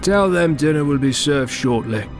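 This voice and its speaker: A man playing a calm, ominous old chef in an audiobook drama, speaking in a deliberate, measured way. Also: a little high, faint music behind, natural voice. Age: older.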